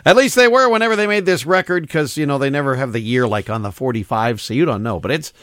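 A man's voice: medium pitch, animated, fast, a bit bright, no echo, clean studio sound. A man hosting an old-time polka radio show, chatting casually and folksily with fillers and restarts as he introduces records. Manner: folksy, rambling, with fillers and self-corrections. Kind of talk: casual radio disc jockey chat.

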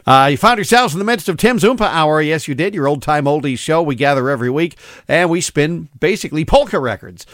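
A man hosts a polka radio hour in a loose, folksy way, rambling between records with plenty of fillers. His voice sits fairly high and swings widely in pitch. It comes through a close, dry studio microphone. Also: lively intonation, brisk, a bit bright, no echo. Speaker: radio show host. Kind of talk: casual radio disc jockey chat.

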